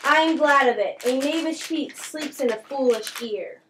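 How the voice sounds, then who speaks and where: A woman's voice: high-pitched, normal speed, a bit bright. A woman playing a mocking, riddling young prince in an amateur Shakespeare puppet show, declaiming old verse with a taunting edge. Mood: mocking, evasive. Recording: echoey, distant, faintly noisy room recording.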